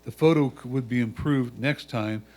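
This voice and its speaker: A man reading written critique aloud in a measured, deliberate way. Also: mid-range voice, a little mellow. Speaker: reader of judges' comments.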